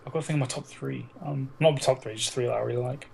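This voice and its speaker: A man's voice: medium pitch, steady tone, racing, vocal fry, darkish tone. A man co-hosting a casual podcast, with sweary, irreverent banter. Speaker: podcast co-host.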